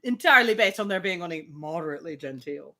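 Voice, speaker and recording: A woman hosting a live reading of a novel, reading aloud and breaking off for casual asides in an animated way. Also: lowish voice, fast talker. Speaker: host of live book readings.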